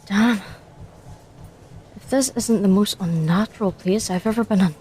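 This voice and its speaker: A woman voicing a shaken commander in an audio drama, halting and uneasy. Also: mid-range voice, a little mellow, a little hiss or hum, lightly acted.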